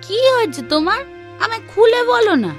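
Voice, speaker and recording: A woman voicing village women in a children's cartoon with expressive, emphatic dramatic delivery. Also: very high voice, brisk, soft background music. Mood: plain, concerned. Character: village women: maid, mistress, wife, daughter. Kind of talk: Bengali children's cartoon voice acting.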